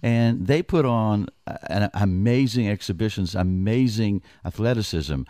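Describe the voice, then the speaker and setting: A man's voice: fairly low pitch, a little slow, warm tone, no room sound. A middle-aged man from Greece, a wrestling commissioner, talking as a guest in a radio studio interview, earnest and prone to restarting his sentences.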